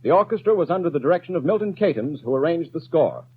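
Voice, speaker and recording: An American man giving formal, measured scripted announcements as a 1930s network radio announcer. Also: fairly high pitch, expressive, breathy edge. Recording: tinny, phone-like 1930s radio broadcast.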